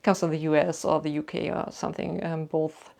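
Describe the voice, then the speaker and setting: A young woman from Germany speaks English with a clear German accent. Her voice sits low, with plenty of gravelly vocal fry. She answers viewer questions in a relaxed, meandering way, full of "like" and "um". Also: a little fast. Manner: chatty and rambling, with many "like" and "um" fillers. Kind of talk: casual Q&A chat to camera.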